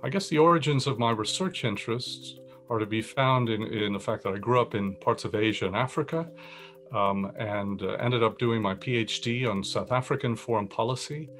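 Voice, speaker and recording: A man, a professor, explaining his research in a steady, explanatory way with some restarts. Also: fairly low pitch, moderate pace, faint music behind. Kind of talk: interview-style talk about research.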